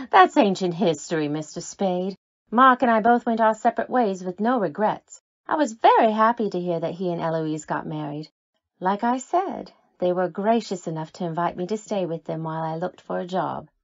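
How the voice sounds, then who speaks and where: A woman's voice: fairly low pitch, expressive, normal speed, no room sound, dull audio. A woman acting a nervous, lovelorn scorned woman with a guilty secret in an old-time radio drama, coy and defensive. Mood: coy, fond.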